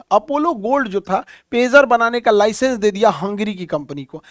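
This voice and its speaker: A man's voice: high voice, dry recording, clean studio sound. A man teaching in a colloquial, storytelling way, repeating key phrases as he explains. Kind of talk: explanatory current-affairs lecture.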